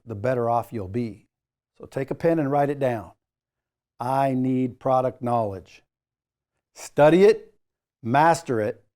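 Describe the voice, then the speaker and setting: A man's voice: medium pitch, darkish tone. A man presenting a training lesson as an instructor, speaking directly to the learner in an emphatic, coaching way with informal asides. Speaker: sales trainer, course instructor.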